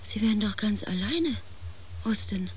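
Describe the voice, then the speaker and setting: A woman's voice: mid-range voice, animated, measured pace, a little hiss or hum, natural voice. An actress playing a female space pilot, grave and intense, in a science fiction radio play. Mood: pleading. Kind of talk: radio play acting, dramatic first-person narration.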